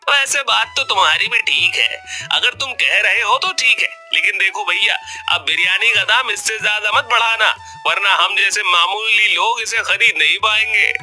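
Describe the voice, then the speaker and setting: A plain-spoken, friendly village customer in a cartoon tale. His voice is raised in pitch and very bright, with expressive, swinging intonation and music behind it. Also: normal speed. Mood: surprised, grudging. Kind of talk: Hindi cartoon story voice acting.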